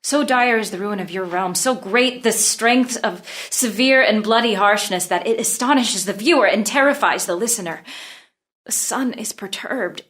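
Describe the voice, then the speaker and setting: A woman's voice: medium pitch, fairly bright tone, natural voice. An actress playing a grave noblewoman who declaims formally in a classical verse drama reading. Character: grave noblewoman bearing dire news to her king. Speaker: actor. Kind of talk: unrehearsed table read of classical verse drama.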